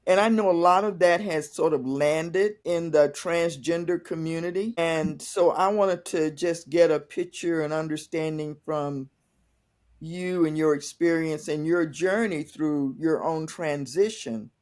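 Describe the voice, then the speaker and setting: A woman hosting a podcast, opening with a measured scripted intro and then asking earnest questions with a few restarts. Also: low-pitched, darkish tone. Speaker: podcast host.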